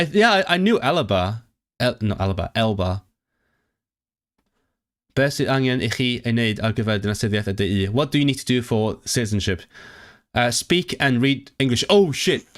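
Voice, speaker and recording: A man chatting live with his viewers, reading quiz questions aloud, translating them and often restarting his sentences. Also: medium pitch. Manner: chatty and animated, reacting to the chat. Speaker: livestreamer. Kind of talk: casual livestream chat with viewers.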